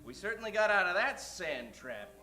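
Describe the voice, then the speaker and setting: A man's voice: high voice, sing-song, moderate pace, sharp tone, heavily acted. A man playing eager, blustery sidekick and cop parts in improvised old-time radio comedy. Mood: proud. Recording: echoey, off-mic, music behind.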